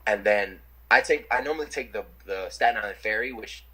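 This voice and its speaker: Husky young New man, rambling. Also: fairly low pitch, a little fast, sharp tone, rough recording. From New York City. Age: young adult. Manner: rambling, lots of fillers and restarts. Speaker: interviewee, rapper. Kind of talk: casual chat. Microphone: video call.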